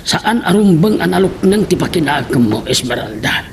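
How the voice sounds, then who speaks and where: A male actor as a cautious old Ilocano father in a radio drama. His voice is very gravelly yet fairly high, weary, with an Ilocano accent. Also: big pitch swings, moderate pace, slight background noise. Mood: resigned.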